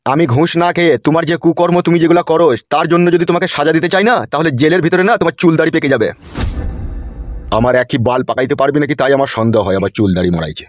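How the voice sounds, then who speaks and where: Cartoon official, male dubber: slightly high, quick, coarse and argumentative, dull lo-fi sound. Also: no echo, faint music behind, close to an everyday voice. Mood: quarrelsome. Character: foul-mouthed, quarrelsome cartoon politician and bribe-taking policeman. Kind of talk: comedy cartoon dubbing. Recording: dull lo-fi audio.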